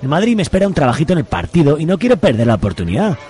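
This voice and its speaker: A man's voice: a little high, sing-song, very fast, darkish tone, breathy edge. A man playing a smug, ambitious young conservative in a comic radio soap.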